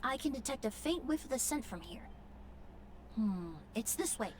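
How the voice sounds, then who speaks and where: A composed and reassuring female investigator from an anime-style game, played by a woman. She speaks politely and formally in a fairly high voice, with faint background noise. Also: expressive, moderate pace, close to an everyday voice.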